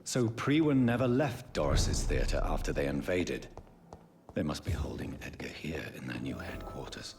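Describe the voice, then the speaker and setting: A man's voice: rumbling low, expressive, normal speed. An actor playing a brooding male vampire doctor in a video game, grave and menacing when pushed. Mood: pensive. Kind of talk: dramatic video game acting. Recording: dramatic music behind.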